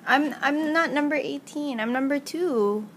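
A woman's voice: high-pitched, unhurried, heavy background noise. A young woman filming her godchild at home, asking short, encouraging questions in a playful way. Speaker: godmother filming a child's pretend cooking show. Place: living room at home. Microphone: camera held by the speaker.

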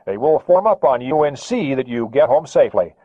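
A man's voice: mid-range voice, sing-song, a little fast, dark tone, no echo, voice pushed up. A male narrator voicing a smug American radar controller who speaks in a falsely polite, needling way over a radio. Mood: mock polite. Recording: tinny radio transmission.

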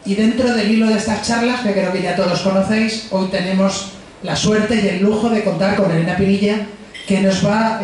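A man introducing a speaker at a pub science talk, in a relaxed, informal way. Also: sits high, slight background noise. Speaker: event organizer introducing the speaker. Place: pub, indoors. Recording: echoey pub room, from far off.

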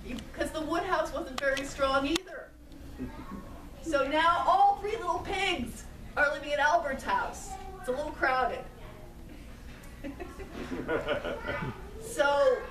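Slow-telling woman storyteller. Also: sits high. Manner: slow, gentle, asks the child questions. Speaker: puppeteer and storyteller. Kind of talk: telling a children's story with puppets. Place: indoor room with puppet stage. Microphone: far camera. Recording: echoey room, far camera, noisy.

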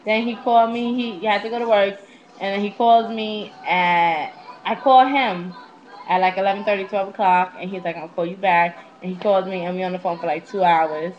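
A woman's voice: mid-range voice, steady tone, brisk. A woman in her twenties telling personal stories casually to her webcam, candid, slangy and freely swearing, recorded on a small netbook mic. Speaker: vlogger. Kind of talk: casual confessional storytelling to webcam. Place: small indoor room at home. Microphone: netbook's built-in webcam mic. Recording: dull lo-fi webcam audio.

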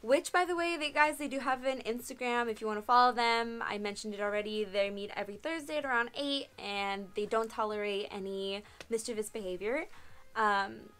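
A woman's voice: a little high, fairly quick, fairly bright tone, clear, resonant tone. A young woman in her twenties chatting casually to a webcam in her bedroom, answering viewer questions with lots of "um" and "like". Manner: chatty, frequent "um" and "like", laughs often. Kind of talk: casual Q&A vlog. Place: small bedroom, indoors.

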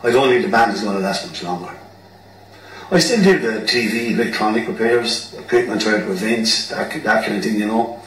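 A man's voice: on the low side, a little fast, fairly bright tone, lightly acted. Man voicing a resigned, rambling Irish musician for radio drama, distant from the mic. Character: rambling, down-on-his-luck Irish bandmate Pat. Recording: roomy, distant mic, background noise.

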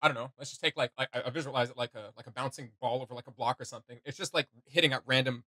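A man's voice: medium pitch, fairly even intonation, very fast, sharp tone, dry recording. A young man giving casual reaction commentary, hesitant as he gropes for the right words. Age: young adult. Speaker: reaction video host. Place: small home studio room.

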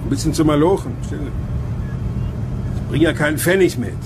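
An actor playing a nervous, pleading sailor who informs, hesitant and blurting, in a radio play. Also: male voice, fairly high pitch, measured pace, music in the background, rough recording.

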